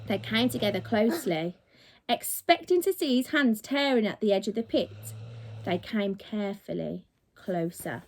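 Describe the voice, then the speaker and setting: A woman's voice: mid-range voice, animated, normal speed, darkish tone, slight background noise, low-quality recording. A woman reading a children's story aloud like a teacher to her pupils, expressively and with dramatic emphasis on key words.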